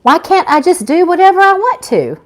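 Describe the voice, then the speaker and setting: Woman playing catty, animated young woman. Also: very high-pitched, fairly quick, pitched above the performer's own voice. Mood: defiant. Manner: catty, indignant, sarcastic. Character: sassy, defiant young woman, newly dead angel recruit. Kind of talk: live audiobook-style reading.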